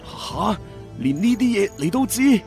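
An actor playing an earnest, anxious captive police officer, pleading in a radio drama. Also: male voice, high voice, sing-song, a little slow, gravelly, darkish tone, faint music behind, heavily acted. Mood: shocked.

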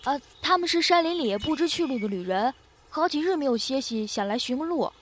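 Woman voicing a high-voiced friendly country boy. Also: animated, fairly quick. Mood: hesitant. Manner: boyish, eager, hospitable. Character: friendly, modest country boy living in the woods. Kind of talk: Chinese costume radio drama acting. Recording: faint background noise, outdoor nature ambience.

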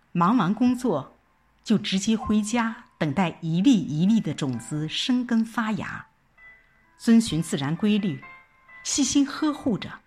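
A woman performing dramatic first-person narration in a reflective, expressive recitation with deliberate pauses. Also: mid-range voice, slightly rough. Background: background music.

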